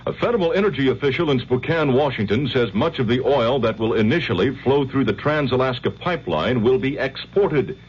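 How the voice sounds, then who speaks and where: A man's voice: medium pitch, expressive, a little fast, hardly any pauses. An American man reading a scripted radio newscast as the anchor. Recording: dull 1970s radio broadcast, faint hum.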